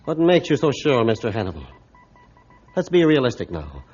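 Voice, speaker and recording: A man playing a grim, realistic ship's captain in a formal, deliberate, old-time radio drama style. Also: medium pitch, very animated, a little mellow, slightly rough. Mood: stern, resolved. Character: grim, realistic male captain of an icebound ship. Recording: dull, band-limited old radio recording.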